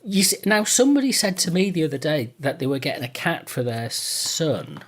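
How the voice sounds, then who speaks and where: A man's voice: on the high side, big pitch swings, fairly quick, fairly bright tone, husky. A British man hosting a casual interview, talking in a rambling, self-deprecating way. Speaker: podcast host.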